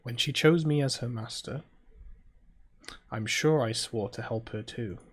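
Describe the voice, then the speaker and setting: A British man hosting a game playthrough, chatting casually and reading story text aloud in a low-key, halting way. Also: husky. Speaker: gaming video host playing a visual novel.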